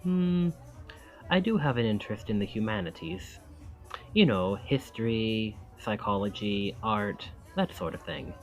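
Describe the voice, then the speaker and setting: A man's voice: lowish voice, lively intonation, unhurried, faint music behind. A man acting a charming, friendly young college student in an intimate ASMR roleplay, with a self-deprecating, thoughtful and wry manner.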